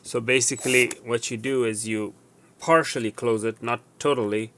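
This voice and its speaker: A man presenting a casual hands-on repair demonstration, going step by step in a halting way and correcting himself. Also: medium pitch, steady tone, a bit bright, slight background noise. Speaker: tutorial presenter.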